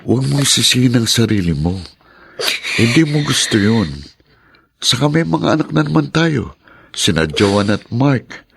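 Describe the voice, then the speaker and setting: An actor playing a troubled, soft-hearted young Filipino husband in a radio drama, subdued and earnest. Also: male voice, mid-range voice, big pitch swings, unhurried, creaky, long pauses, a little hiss or hum. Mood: consoling.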